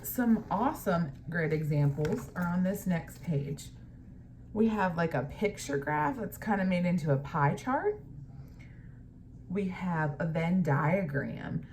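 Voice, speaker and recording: A woman teacher doing a picture-book read-aloud, pausing to explain things to young pupils. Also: on the low side, animated, a little mellow, slight background noise.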